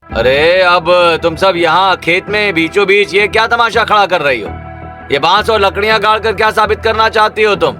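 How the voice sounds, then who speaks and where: Man acting a scornful village husband, bright and forceful. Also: sits high, moderate pace, faint music behind. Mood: irritated, mocking. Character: scornful, lazy village husband dismissing the women. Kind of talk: Hindi cartoon story acting.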